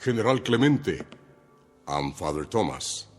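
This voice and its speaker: An actor playing a grave, reproachful priest in a 1960s television drama, with a solemn and pointed theatrical delivery. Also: male voice, deep voice, big pitch swings, unhurried. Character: grave, reproachful male priest confronting power. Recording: old TV soundtrack, echoing, music underneath.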